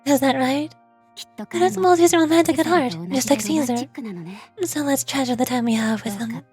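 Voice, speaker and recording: A woman voicing a soft-spoken grieving widow, a wistful romantic from a video game. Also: high voice, normal speed, soft background music, lightly acted. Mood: sorrowful. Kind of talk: video game character voice acting.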